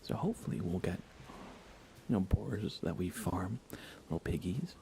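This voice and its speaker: A soft-spoken man chatting casually as he hosts an ASMR gaming stream, often slipping into whispers. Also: sits low, very animated, moderate pace, gravelly, crowd noise. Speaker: livestream host. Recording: faint computer fan noise. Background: computer fans, game ambience.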